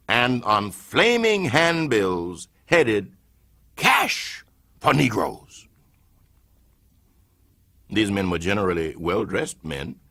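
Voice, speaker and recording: A low-voiced older man reads a historical oration in a slow, measured way. His delivery swings widely, swelling to impassioned heights and then dropping to near-hushed tones. The reading is dramatic and deeply solemn throughout. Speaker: reader of a historical speech.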